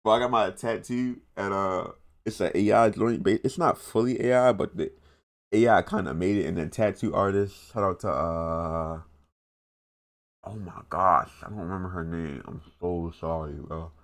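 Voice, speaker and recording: Young american guy reacting off the cuff, real low voice, lots of fry, slangy and rambly. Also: normal speed. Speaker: reaction video host. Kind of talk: casual, unscripted reaction commentary.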